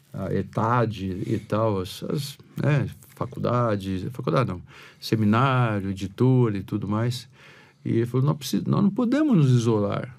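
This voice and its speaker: Gravelly Brazilian pastor, rambling. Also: male voice, medium pitch, a little mellow. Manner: rambling, storytelling, many restarts. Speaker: pastor, guest. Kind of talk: casual podcast conversation.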